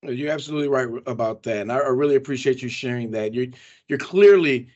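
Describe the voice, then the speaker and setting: A man's voice: mid-range voice, fast, darkish tone, highs cut off. A man hosting an interview from his office over a video call, friendly and prone to restarting his phrases. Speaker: host, county executive.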